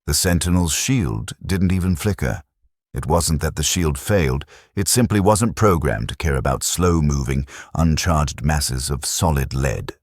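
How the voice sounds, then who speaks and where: A man reads a science fiction audiobook in a very deep, husky voice. His delivery is dramatic and measured, with lively swings in intonation. The sound is close and dry. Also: no room sound. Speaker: narrator. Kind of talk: scripted audiobook narration.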